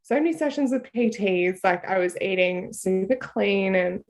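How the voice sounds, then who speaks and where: A woman's voice: medium pitch, expressive, normal speed, a little mellow, poor audio. Chatty Australian woman guest. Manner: chatty, frequent "like" fillers, laughs easily. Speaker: podcast guest. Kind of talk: casual conversational interview. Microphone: video call.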